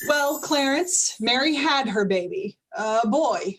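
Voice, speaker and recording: Woman as a patient, higher-voiced storytelling angel. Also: expressive, takes it slowly, slight background noise, low-quality recording. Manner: conversational, explanatory. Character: wise head angel, patient storytelling boss. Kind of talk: radio play storytelling narration.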